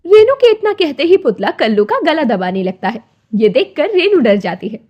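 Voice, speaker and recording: Woman narrator, very high voice, animated intonation, scripted moral storytelling. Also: normal speed, dull audio.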